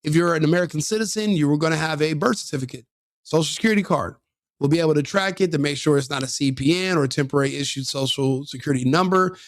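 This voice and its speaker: A man's voice: fairly high pitch, quick pace, no room sound, studio quality. A man giving crude, mocking commentary on a video, sneering and laughing often.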